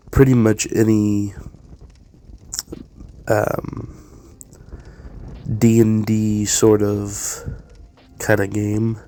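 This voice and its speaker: A man casually commenting on a game as he plays, hesitant and full of fillers, his voice raspy and stuffed up. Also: fairly low pitch, mellow. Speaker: let's play gamer.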